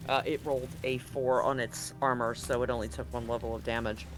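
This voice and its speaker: A man acting as game master, narrating and explaining a tabletop scene in a casual, halting way that often rephrases. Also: medium pitch, flat delivery, over music.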